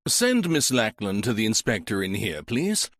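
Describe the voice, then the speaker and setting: A man doing a brisk, curt businessman. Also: on the low side, sing-song, a bit bright, dry recording, clean studio sound. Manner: hurried, curt. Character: brisk middle-aged businessman, dismissive.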